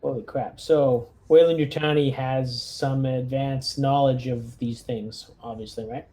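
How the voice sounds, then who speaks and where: A man with a dark, soft-edged voice of medium pitch plays a tabletop game. He speaks casually and tentatively, on a slightly noisy recording with some clicks. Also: steady tone, unhurried. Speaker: player in a tabletop role-playing game.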